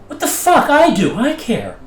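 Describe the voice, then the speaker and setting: A man's voice: extremely high pitch, huge pitch swings, measured pace, full character voice. A man playing a conflicted, skeptical pilot in an audio drama, hesitant and incredulous as he resists a scheme. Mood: outraged. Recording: roomy sound with music underneath.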